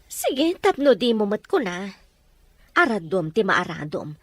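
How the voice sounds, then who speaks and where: A woman's voice: mid-range voice, sing-song, a little slow, dry recording. Actress as worried middle-aged country mother, firm, protective, mid register, dry audio drama sound. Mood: indignant. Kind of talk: Ilocano audio drama dialogue.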